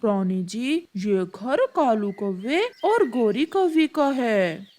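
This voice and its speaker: A woman's voice: fairly high pitch, animated, takes it slowly, mellow. A woman voicing a dutiful male pigeon who serves as royal guard and crier, with a formal announcing manner. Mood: respectful.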